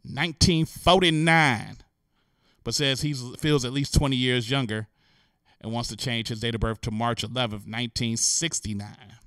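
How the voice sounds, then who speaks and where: A man hosting a show, giving animated, incredulous, joking and slangy commentary on the news. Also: medium pitch, moderate pace, no echo, studio quality. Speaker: host. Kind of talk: comic commentary on news stories.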